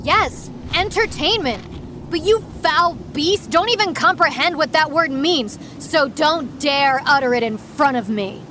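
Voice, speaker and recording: A woman's voice: high-pitched, lively intonation, measured pace, background noise. A woman playing a cocky, defiant young streamer who hunts demons in an audio drama, brash and scornful.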